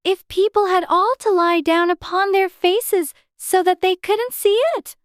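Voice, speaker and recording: A woman's voice: very high voice, fairly even intonation, unhurried, no echo, clean studio sound. A woman playing a polite, curious, plucky little girl in a dramatized storybook. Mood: doubtful.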